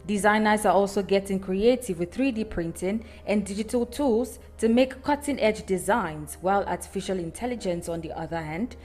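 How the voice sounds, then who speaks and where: A woman's voice: medium pitch, darkish tone, soft background music. Woman reading a news report. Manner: measured, formal read delivery. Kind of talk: scripted news report voice-over.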